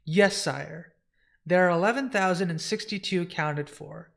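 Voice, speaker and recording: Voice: mid-range voice, moderate pace, gravelly, natural voice. A comic reader voicing a dutiful male librarian who serves a lord, reporting in a formal, respectful way. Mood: grave, reporting.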